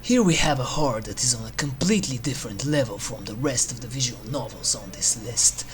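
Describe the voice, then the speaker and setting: A narrator reading a scripted list review with drawn-out, theatrical phrasing and dry humour, as a video host. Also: mid-range voice, animated, a crowd in the background. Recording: music under the voice. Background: eerie game soundtrack music.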